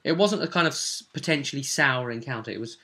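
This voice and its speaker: British man, game master, bright voice, mid pitch, casual storytelling, animated, acts out players' lines. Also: quick pace. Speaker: game master and host of a tabletop role-play vidcast. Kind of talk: casual recounting of a tabletop game session.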